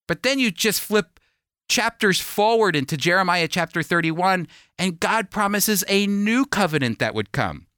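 A man's voice: high voice, bright, forceful tone, no room sound, clean studio sound. A man preaching a sermon and reading scripture, stressing and repeating key phrases and posing rhetorical questions. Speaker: preacher and Bible teacher on a radio program.

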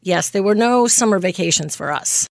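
A woman in her fifties from Greece, a nurse practitioner telling her story as an interview guest, earnest and quick to laugh. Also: medium pitch, lively intonation, vocal fry, dry recording, clean studio sound. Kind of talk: casual interview.

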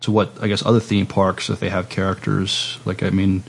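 A man hosting a casual podcast interview, with hesitant, halting phrasing. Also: low-pitched, little pitch movement, vocal fry, slightly rough. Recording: noticeable background noise.

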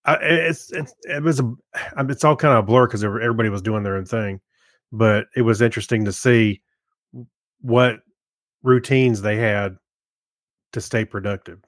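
A man's voice: fairly low pitch, fairly even intonation, normal speed, pauses often, no room sound, clean studio sound. A man co-hosting a podcast, chatting casually and telling things in a hesitant, rambling way. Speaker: podcast co-host. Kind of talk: casual chat.

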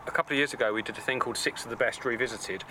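Man, mid-pitched, detailed and steady, loud traffic outside. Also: rapid-fire. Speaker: tribute band founder. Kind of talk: casual interview chat. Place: outdoors outside a pub. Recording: outdoor street noise with passing traffic.

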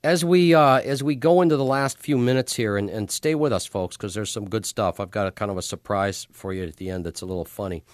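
A man's voice: on the low side, a little fast, hardly any pauses, dry recording. A man hosting a casual business podcast interview, often repeating words as he forms his questions. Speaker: podcast host interviewing a guest.

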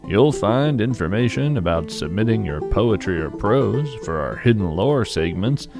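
A man's voice: on the low side, lively intonation, moderate pace, creaky. A man hosting a podcast, reading a scripted announcement with guitar music behind him. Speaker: podcast host. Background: plucked guitar music.

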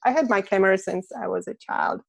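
Woman, Russian accent, gravelly voice, dry close sound, laughs a lot, casual interview. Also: mid-range voice, a little fast, no echo. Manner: warm, laughs often between phrases. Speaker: guest, boudoir photographer.

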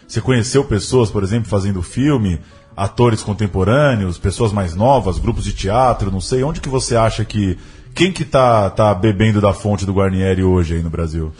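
A man's voice: lowish voice, normal speed, darkish tone, faint music behind. A Brazilian man hosting a podcast, asking casual interview questions that he rephrases tentatively as he goes.

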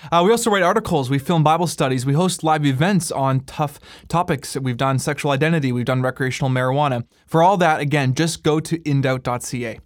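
A chatty, upbeat host with a fairly high voice talks loosely and easily. He sits close to the mic in a dry studio. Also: a little fast, hardly any pauses, no echo. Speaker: podcast host. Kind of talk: casual interview. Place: radio studio.

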